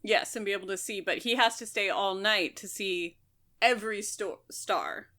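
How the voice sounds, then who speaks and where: A woman's voice: medium pitch, moderate pace, bright, forceful tone. A woman co-hosting a podcast, chatting casually as she talks through a book. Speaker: podcast co-host.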